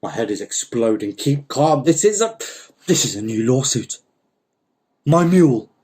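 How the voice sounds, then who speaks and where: A man's voice: mid-range voice, big pitch swings, unhurried, warm tone. A man voicing a panicky, blustering landowner in a dramatic story, exasperated and repeating his commands. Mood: flustered.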